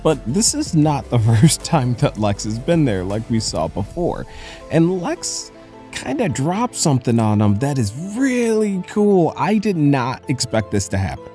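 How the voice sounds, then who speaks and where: Animated, mellow-voiced man recapping comics. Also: mid-range voice. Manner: animated, conversational storytelling. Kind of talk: casual commentary recap. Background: music.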